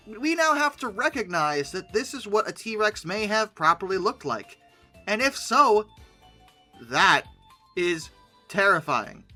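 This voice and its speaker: Animated, bright-voiced commentator, theatrically exasperated. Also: medium pitch. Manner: theatrical, exasperated, sardonic, with repetition for emphasis. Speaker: host of a paleoart commentary video. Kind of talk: humorous reaction commentary, part ranting. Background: background music.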